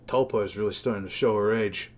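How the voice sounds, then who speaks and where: An actor playing an affable, responsible spaceship captain in a game dub, with a casual, reassuring manner. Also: male voice, lowish voice, steady tone, fast, slight background noise, natural voice. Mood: musing, concerned. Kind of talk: game fandub voice acting.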